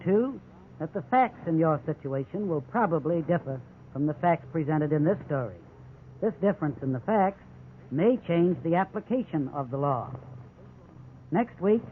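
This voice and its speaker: A somewhat high-voiced American man reads instructive legal commentary in the formal manner of 1950s radio. The recording is narrow and tinny, with a light layer of hiss underneath. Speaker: legal counselor, law school dean.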